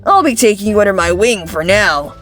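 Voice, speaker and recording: A woman voicing a breezy, boastful hero mentor in an animated comic dub, showy and upbeat. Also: medium pitch, a little fast, soft background music, lightly acted.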